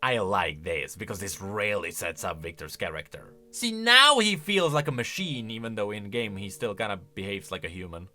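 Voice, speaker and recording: Male lore commentator: casual and animated, very lively pitch swings, forceful bright tone, thinks aloud. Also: moderate pace, hardly any pauses, soft background music. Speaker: video host, game lore commentator.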